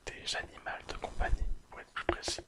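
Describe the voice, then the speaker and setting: A person whispering an ASMR episode recap in a careful reading manner. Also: a little fast. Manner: careful reading, apologises and corrects slips. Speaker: ASMR video creator.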